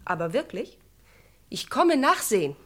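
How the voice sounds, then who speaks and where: Brisk motherly nurse in an old radio play, bossy but soothing, highish and animated. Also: a little hiss or hum. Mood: firm, soothing. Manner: strict yet soothing, no-nonsense. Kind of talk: German radio crime play acting.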